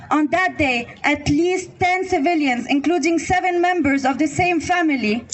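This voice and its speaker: High-pitched woman from lebanon reading a rally speech outdoors, earnest, a bit of noise behind. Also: low-quality recording. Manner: earnest, listing items one by one. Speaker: rally speaker. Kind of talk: prepared speech read to a crowd. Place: outdoor public square.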